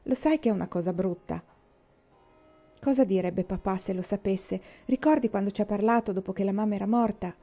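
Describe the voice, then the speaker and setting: A woman's voice: mid-range voice, a little fast, dark tone, muffled recording, natural voice. A woman reading aloud voices an earnest, worried little girl who pleads with her sister. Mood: pleading.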